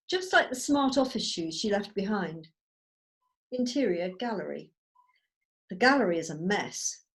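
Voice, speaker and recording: A woman reading stage directions as the narrator of a script, in a scripted narration style. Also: a little high. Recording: video call.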